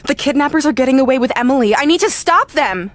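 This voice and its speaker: A cocky MMA fighter in a video game comedy. Her voice is fairly high. She is catty and smug, tossing out condescension with breezy arrogance. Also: animated, quick pace, no echo. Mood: melodramatic.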